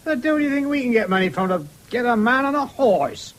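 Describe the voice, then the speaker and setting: An actor playing a gruff, sceptical farmer and father with a rural English accent in a British radio drama, blunt, grudging and sarcastic. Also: extremely high pitch, expressive, a little fast.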